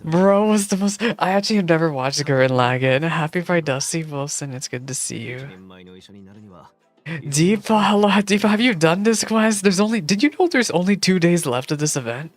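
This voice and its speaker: A woman livestreaming a game playthrough, chatting casually with viewers in a gushing, expressive way. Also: on the low side, moderate pace. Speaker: streamer reacting to a game playthrough. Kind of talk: casual livestream chat and commentary. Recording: game music behind the voice. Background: game music.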